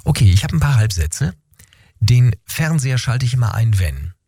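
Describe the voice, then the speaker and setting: A man's voice: mid-range voice, very animated, normal speed, warm tone, slightly rough, no echo, clean studio sound. A man hosting a radio interview in a conversational style, putting questions and prompts to a guest.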